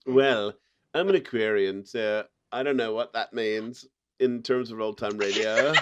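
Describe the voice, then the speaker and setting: A man's voice: lowish voice, unhurried, highs cut off. A man hosting a casual panel podcast in a chatty, jokey way with a touch of mock formality. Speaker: podcast host.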